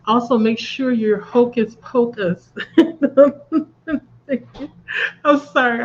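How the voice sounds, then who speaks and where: Stuffy-nosed woman hosting a reading stream from home, chatty, dull lo-fi sound w/ a little hiss. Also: on the high side. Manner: chatty, laughs at her own jokes. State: congested from a cold. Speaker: livestream host of a reading sprint. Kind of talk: casual chat.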